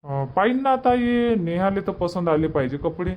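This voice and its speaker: Man playing high-voiced, impatient Vidarbha family man. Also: expressive, normal speed, lo-fi sound, slight background noise. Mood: matter-of-fact. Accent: Marathi of Vidarbha. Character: young village family man, impatient, bossy. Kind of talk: cartoon comedy dub.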